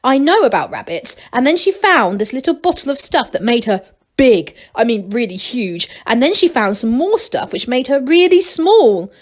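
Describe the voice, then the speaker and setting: A woman voicing an excitable, naive young gnome in a storybook, breathless with enthusiasm. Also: a little high, moderate pace. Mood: excited.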